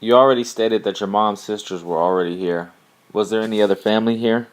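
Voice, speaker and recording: A man conducting an oral history interview, asking plain, measured questions. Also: on the low side.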